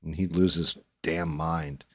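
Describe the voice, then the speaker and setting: A man's voice: extremely low pitch, little pitch movement, measured pace, no echo, clean studio sound. A man hosting a casual livestream chat, blunt and swearing freely, often restarting his sentences. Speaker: livestream host. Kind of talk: casual chat while 3D printing and painting.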